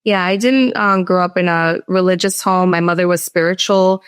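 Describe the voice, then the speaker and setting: A woman's voice: lowish voice, steady tone, normal speed, clean tone, no echo, clean studio sound. A woman in her forties who grew up in Florida, telling her story as a podcast guest in a plain, composed way. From Orlando, Florida. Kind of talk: personal testimony interview.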